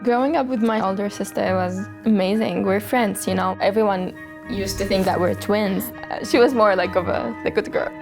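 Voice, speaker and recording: A woman's voice: medium pitch, moderate pace, creaky. A young woman from Syria, speaking reflectively and with some hesitation in a documentary interview. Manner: reflective and hesitant, frequent "you know" fillers. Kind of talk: documentary interview and personal testimony. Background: background music.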